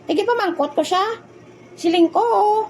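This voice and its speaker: A woman's voice: extremely high pitch, a little slow, a little mellow, a little hiss or hum. A woman voicing a silly, cheeky young boy in a comic audio drama, playful and full of questioning little interjections. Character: silly boy joker Noy Noy, cheeky and simple.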